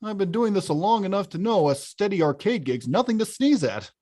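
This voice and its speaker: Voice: mid-range voice, lively intonation, a little fast, mellow, lightly acted. An actor playing a huge, hot-tempered, weary arcade-game villain who confides wryly and grumbles conversationally in a table read. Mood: resigned, wistful. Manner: conversational, grumbling, bursts into bellows. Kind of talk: table-read acting, confessional voice-over.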